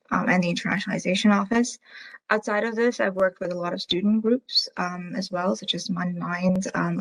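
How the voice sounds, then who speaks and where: A young woman from Bangladesh introduces herself in a flat, even tone with a gravelly edge. She moves along briskly, with "um" sprinkled throughout. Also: fairly low pitch, poor audio. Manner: brisk, with frequent fillers. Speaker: panelist, career advisor. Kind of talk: self-introduction on a panel.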